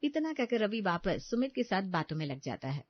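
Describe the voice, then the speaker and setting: A woman narrates a scripted domestic tale as a storyteller. Her voice sits at an ordinary pitch, recorded very dry with no room sound, and the audio is slightly dull and lo-fi. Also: sing-song, fairly quick, no echo.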